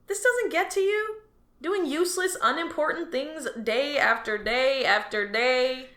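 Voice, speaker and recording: A woman's voice: sits high, a little slow, a bit bright. A woman voicing a bored young male alien office clerk who whines and mocks his useless job, in an audio drama. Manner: sarcastic, whiny, restless.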